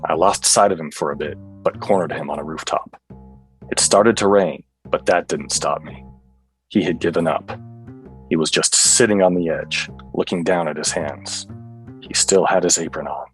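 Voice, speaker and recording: A man playing a weary, self-serious hardboiled cop in a radio play, with deadpan noir-style delivery. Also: rumbling low, normal speed, faint music behind. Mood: self-serious narration.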